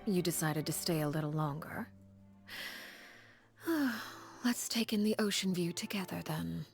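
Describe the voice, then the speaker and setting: Low, poised, commanding game villainess. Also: female voice, animated, vocal fry. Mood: coolly courteous. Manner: measured, formal, composed. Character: poised, commanding villainess, coolly courteous and menacing. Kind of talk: anime game voice acting. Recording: soft game music behind.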